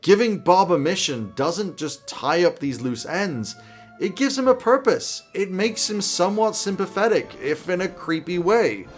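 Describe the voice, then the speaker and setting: High-pitched man explaining over music. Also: expressive, unhurried. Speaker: tabletop roleplaying game advice host. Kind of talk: conversational explainer to camera. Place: small home office, indoors.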